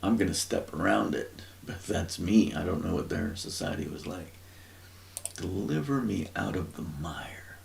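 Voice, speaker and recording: Slow, gravelly low-voiced man musing. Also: a little hiss or hum. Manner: rambling, searching for words, many fillers. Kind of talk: informal religious teaching, thinking aloud.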